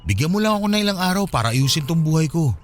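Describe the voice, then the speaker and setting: Actor as a remorseful, pleading husband. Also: male voice, a little high, expressive, rapid-fire, slight background noise, close to an everyday voice. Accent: Filipino. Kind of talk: Filipino radio drama acting. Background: music.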